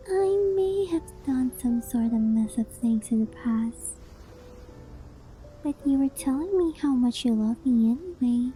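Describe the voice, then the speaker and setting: A woman's voice: sits high, poor audio. A woman voicing a doting, clingy fox-spirit wife in an intimate ASMR roleplay, coy and hesitant, often slipping into whispers. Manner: coy, hesitant, affectionate, softly breathy with whispered phrases. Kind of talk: ASMR roleplay, intimate sleep-aid monologue.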